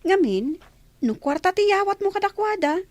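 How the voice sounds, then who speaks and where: A woman's voice: very high-pitched, sing-song, moderate pace, a little mellow, no echo. A woman with an Ilocano accent playing a concerned friend who gives advice in a radio comedy-drama. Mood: concerned, advising.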